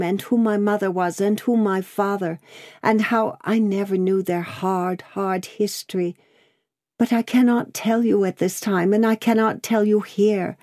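Woman as young daughter, storybook drama, earnest, gentle, pleading, slow measured phrases, dry close sound. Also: mid-range voice, no echo, pitched above the performer's own voice, lightly acted. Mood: tender, imploring. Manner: imploring, fervent, gentle. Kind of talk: dramatic audiobook character reading.

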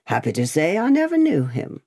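A woman voicing a disdainful gentleman in a lowered voice. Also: huge pitch swings, a little fast, gravelly, dry recording, studio quality, exaggerated voice. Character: scornful gentleman, adult man.

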